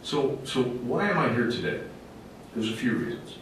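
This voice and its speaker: A man's voice: lively intonation, normal speed. A man speaking as a fire officer giving a public presentation to a committee, in an emphatic, plainspoken, folksy way. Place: large echoey indoor meeting room. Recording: very echoey room, distant mic, faint rumbling noise.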